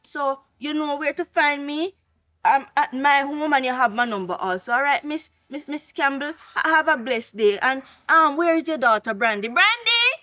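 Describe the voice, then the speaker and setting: Woman as devout, wounded wife; high voice, emotional. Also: moderate pace, bright, forceful tone. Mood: pleading. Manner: pleading, then furious. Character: churchgoing wife, emotional, righteous.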